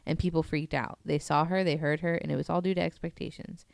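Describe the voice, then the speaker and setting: A woman's voice: sits low, fairly even intonation, fast talker, creaky, no room sound. A woman talking casually and informally as a guest on a podcast, walking through what she has read. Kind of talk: casual podcast talk, presenting and paraphrasing an article.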